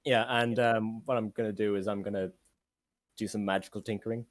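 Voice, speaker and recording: A man's voice: fairly low pitch, level tone, fairly quick, no echo, studio quality. A man playing a tabletop game, talking hesitantly with many ums. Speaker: player. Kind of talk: casual play chat.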